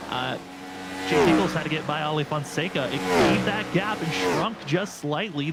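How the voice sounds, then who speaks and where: A man with a slightly high-pitched voice commentates live on a motor race. He works as the play-by-play caller. Loud engine and vehicle noise runs behind his voice. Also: creaky. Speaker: play-by-play sim racing commentator.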